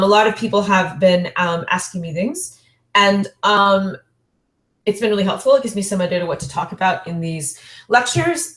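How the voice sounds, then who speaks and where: A woman's voice: medium pitch, fairly bright tone. Woman in her forties, online lecturer, rambling and friendly, filler-heavy, roomy echo. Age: 40s. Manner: chatty, many fillers and self-corrections; laughs lightly between points.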